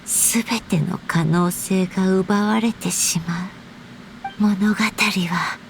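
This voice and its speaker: A woman's voice: mid-range voice, fairly even intonation, measured pace, a little hiss or hum, close to an everyday voice. Wistful, reflective storybook author played by a woman. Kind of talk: Japanese game story voice acting.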